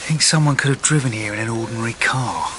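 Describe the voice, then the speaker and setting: A man playing a methodical, level-headed police detective in a naturalistic radio drama, brisk and matter-of-fact. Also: medium pitch, noisy background, natural voice. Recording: radio drama with faint background ambience.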